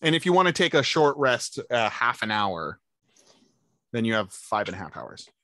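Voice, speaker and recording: A man's voice: mid-range voice, sing-song, moderate pace, sharp tone, dry recording, studio quality. A man running a tabletop game as game master over a video call, laid-back and teasing, laughing easily.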